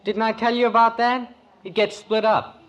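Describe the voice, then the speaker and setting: An actor playing a breezy, blunt American teenage son with boyish slang in a 1950s radio sitcom. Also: male voice, high voice, little pitch movement, moderate pace, natural voice. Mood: breezy, defensive. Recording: very noisy old radio broadcast recording.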